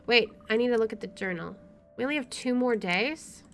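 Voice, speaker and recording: A woman's voice: on the high side, lively intonation, brisk. Woman reading a visual novel aloud. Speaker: let's player. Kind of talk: reading game narration aloud with casual commentary.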